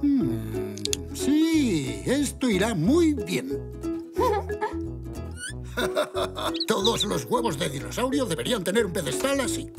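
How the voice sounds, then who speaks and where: A man's voice: high voice, wildly swooping pitch, creaky, exaggerated voice. A man voicing a kindly, genial old zebra grandfather in a children's cartoon. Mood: jovial. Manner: unhurried, genial. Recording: music behind.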